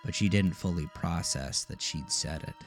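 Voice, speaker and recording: A man narrating a scripted horror story with deliberate, dramatic storytelling. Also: sits low. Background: music.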